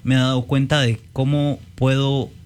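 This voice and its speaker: A man's voice: mid-range voice, level tone, moderate pace, darkish tone, slight background noise. A man with a Guatemalan accent, speaking conversationally as a guest painter introducing himself on a panel.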